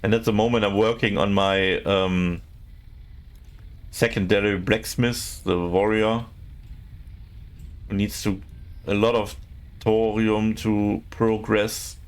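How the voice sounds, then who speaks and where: Halting, gravelly-voiced man streaming. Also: fairly low pitch, flat delivery. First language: not English. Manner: halting, searching for words, many fillers. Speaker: game streamer. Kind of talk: casual live-stream chat with viewers.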